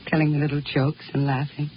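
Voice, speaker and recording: An actress playing a wistful Martian wife in 1950s radio drama, speaking in a hesitant, evasive manner. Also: female voice, low voice. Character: dreamy, wistful Martian wife longing for a stranger. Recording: noisy, dull 1950s radio recording.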